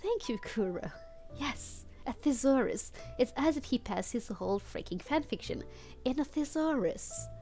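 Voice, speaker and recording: A woman's voice: high-pitched, lively intonation, a little slow, mellow, soft background music. A woman whose first language is not English, streaming a fan fiction reading with amused, theatrical delivery and casual asides.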